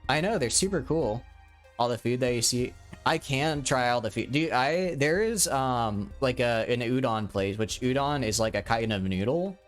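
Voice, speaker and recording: A young man in his twenties talks his way through a game stream in a casual, rambling way, often restarting his sentences. His voice sits at an ordinary pitch for a man. Soft music runs faintly underneath. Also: expressive, moderate pace. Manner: rambling, chatty, frequent restarts and fillers. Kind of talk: casual live-stream chat while gaming.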